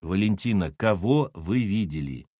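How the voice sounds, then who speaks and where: A man's voice: low voice, lively intonation, unhurried, warm tone, no room sound, pitched below the performer's own voice. A man voicing a dogged husband in an audiobook, questioning people bluntly with dry irony. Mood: insistent. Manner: blunt, insistent, dryly ironic.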